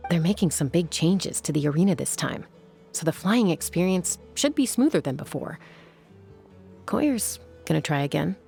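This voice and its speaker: A woman playing a cool, frank young sky rider in an English game dub, measured and assured. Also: lowish voice, lively intonation, gravelly, warm tone, soft background music. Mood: encouraging.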